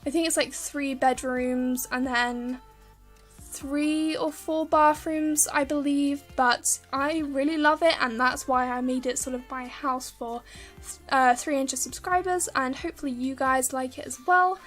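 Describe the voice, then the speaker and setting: A woman's voice: high-pitched, steady tone, unhurried, fairly bright tone, soft background music. A woman YouTuber chatting casually in a voice-over Q&A, rambling with lots of ums, her voice a little worn from talking. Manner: rambling, many ums and restarts. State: slightly worn voice from long talking.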